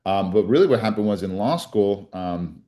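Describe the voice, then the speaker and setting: A man's voice: on the low side, lively intonation, normal speed, warm tone. A man in his forties who grew up in Colombia, a criminal defense attorney telling his story in an earnest, reflective way with frequent restarts. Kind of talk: interview storytelling.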